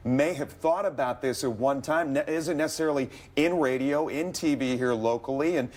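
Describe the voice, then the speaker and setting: A man's voice: mid-range voice, normal speed, darkish tone. A man with an American accent speaking as the host of a television interview. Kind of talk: broadcast interview.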